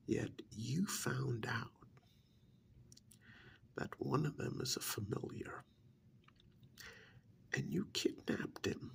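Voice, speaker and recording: Whispering, gravelly male vampire envoy played by a man. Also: medium pitch, a bit bright, exaggerated voice. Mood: gently reproachful. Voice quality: breathy whisper, gravelly fry. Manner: slow, deliberate, drawn-out pauses, conversational. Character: chatty, gently scolding male vampire envoy of a clan. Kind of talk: soft-spoken ASMR role-play.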